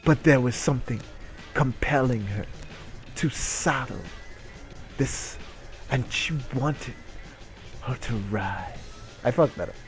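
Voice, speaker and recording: A man's voice: mid-range voice, lively intonation, slow, pauses often, faint music behind. A man giving a comic dramatic reading of an erotic short story in a theatrical narrating manner. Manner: theatrical narration breaking into incredulous asides and giggles. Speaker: reader performing a dramatic reading.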